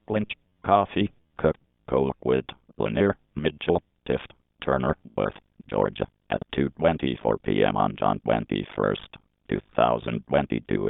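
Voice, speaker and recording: A man reading a scripted emergency alert in a flat, formal, mechanical manner as an announcer. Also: deep voice, creaky, no echo. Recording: tinny, phone-like alert broadcast audio.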